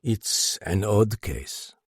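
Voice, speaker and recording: A man voicing a cool, cryptic, commanding French detective in an audiobook, measured and authoritative. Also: fairly low pitch, animated, slightly rough, dry recording, clean studio sound, heavily acted. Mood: cool, probing.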